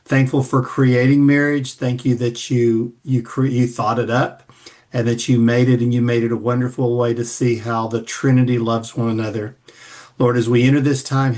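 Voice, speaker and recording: A man's voice: medium pitch, a little mellow. A man leading an earnest spoken prayer on a video call. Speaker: marriage ministry leader leading prayer.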